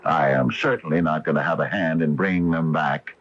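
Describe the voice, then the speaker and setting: An actor playing an earnest, stubborn, plain-spoken small-town store owner in a radio mystery drama. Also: male voice, a bass voice, fairly quick, fairly bright tone. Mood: grave, defiant. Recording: dull lo-fi 1980 radio broadcast.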